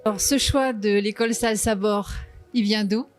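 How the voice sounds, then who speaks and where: A woman's voice: mid-range voice, fairly even intonation, normal speed. Polite female reporter, music behind. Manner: polite, brief questions. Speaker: interviewer. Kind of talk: reportage interviewing.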